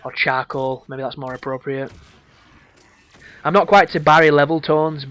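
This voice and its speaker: Hoarse man commentating gameplay. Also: fairly high pitch, steady tone, normal speed, fairly bright tone. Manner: casual, crude, rambling asides. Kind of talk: game walkthrough commentary.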